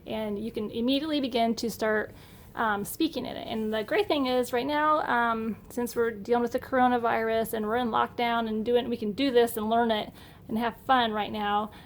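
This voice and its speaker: A woman's voice: a little high, fairly even intonation, normal speed, a little hiss or hum. A middle-aged woman narrating a casual home unboxing in a chatty, upbeat, enthusiastic way. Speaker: homeschool vlogger. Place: indoor room at home.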